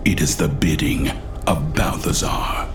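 A man's voice: extremely low pitch, unhurried, whispered, music in the background. A man playing a merciless demonic avenger from a comic book, speaking in solemn archaic pronouncements in a radio horror drama. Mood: grim, relentless.

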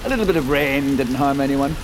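A man's voice: medium pitch, lively intonation, fast talker, noisy background, close to an everyday voice. A man playing a grandiose, self-assured tech visionary in an audio drama, speaking like a showman. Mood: smug, commanding. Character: grandiose visionary tech founder unveiling his life's work. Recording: music under the voice.

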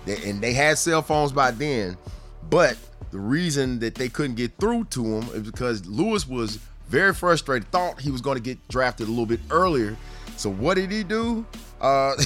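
A man's voice: medium pitch, a bit bright. A man hosting a podcast, telling sports stories in a casual, rambling, conversational way with amused asides. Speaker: podcast host.

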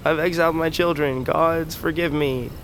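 An actor in an audio drama playing a bitter, sardonic young exiled brother of royal blood. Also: male voice, on the high side, fairly even intonation, normal speed, a bit bright, slight background noise. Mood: bitter, mocking. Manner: sardonic, wry. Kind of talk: audio fiction drama acting.

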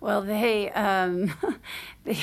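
A woman's voice: medium pitch, fairly even intonation, vocal fry. An actress playing a reserved, awkward married woman, hesitant and earnest, in a naturalistic audio drama. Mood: awkward, nervous. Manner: hesitant, halting.

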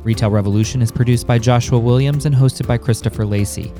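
A man reading a scripted podcast intro in a steady, formal way. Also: medium pitch, quick pace. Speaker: podcast announcer. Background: music bed.

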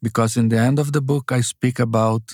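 An older man with a Brazilian accent, a guest author speaking haltingly and searching for words in an interview. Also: medium pitch, steady tone, a little mellow, dry recording, studio quality. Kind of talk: interview conversation.

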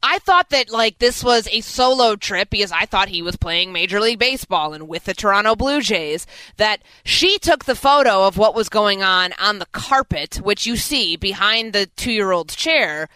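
A woman's voice: mid-range voice, lively intonation, normal speed, bright, forceful tone, smooth, no room sound, poor audio. A woman hosting sports talk radio in a conversational way, moving between promos and banter.

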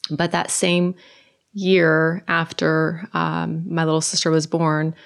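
A middle-aged woman from Norway with a Norwegian accent, soft-spoken and hesitant, telling her personal story in a conversation. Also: low voice, completely flat delivery, takes it slowly, gravelly, clean tone. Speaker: guest.

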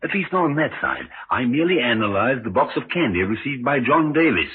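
An actor playing a courteous, hesitant small-town doctor in an old radio drama, speaking formally and hedging. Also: male voice, mid-range voice, sing-song, fairly quick, natural voice. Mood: guarded. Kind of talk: 1950s radio drama acting. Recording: tinny, noisy old radio recording.

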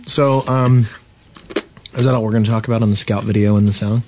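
A man's voice: fairly low pitch, steady tone, brisk, a little mellow, slight background noise. A man co-hosting a podcast in a studio, talking in casual, joking banter. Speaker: podcast co-host.

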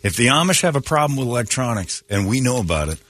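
A man's voice: mid-range voice, sing-song, fast talker, fairly bright tone, slight background noise. A man hosting a radio morning show, riffing in blunt, mocking comic banter. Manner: blunt, mocking, breaks into put-on voices.

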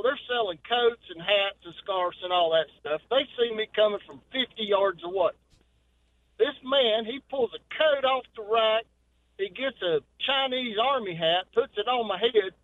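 A middle-aged man, calling in to a radio show and telling a folksy story. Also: very high voice. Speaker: caller. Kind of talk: telling a story on a phone call. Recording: tinny phone line.

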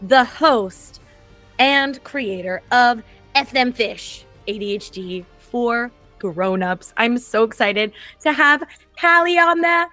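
A cheerful woman podcast host with a slightly high voice, chatting casually and laughing easily. She is recorded close and dry, with faint music underneath. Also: animated, slow, bright, forceful tone, no echo. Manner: bubbly, laughs often, chatty. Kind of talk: casual interview chat.

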